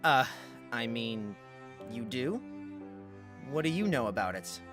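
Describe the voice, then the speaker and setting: A timid young fantasy hero voiced by a woman, lowered in pitch but swinging widely as he speaks. He is hesitant, polite and easily flustered, stammering apologies like a visual novel protagonist over music. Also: very animated, takes it slowly, heavily acted. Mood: hesitant curiosity. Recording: background music under voice.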